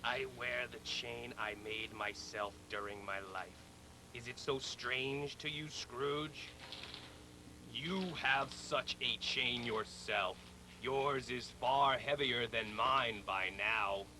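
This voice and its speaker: A grave, regretful ghost in chains, as a male storyteller puts him on, delivering solemn warnings for a storybook read-along. The delivery is slow and heavy with sorrow, over clearly audible ambient noise. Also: medium pitch, steady tone, close to an everyday voice. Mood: grave, accusing.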